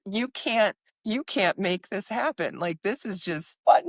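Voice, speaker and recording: A woman's voice: medium pitch, lively intonation, measured pace, no echo, studio quality. A woman hosting a podcast in casual interview chat, appreciative and encouraging toward her guest. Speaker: podcast host.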